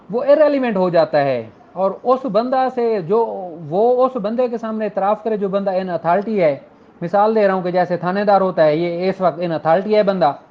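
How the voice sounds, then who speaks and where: The audio is muffled and low in fidelity. A law lecturer with a Pakistani accent speaks at a middling pitch, explaining things step by step in an informal classroom style. English legal terms are mixed into the explanations and examples. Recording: dull, lo-fi audio.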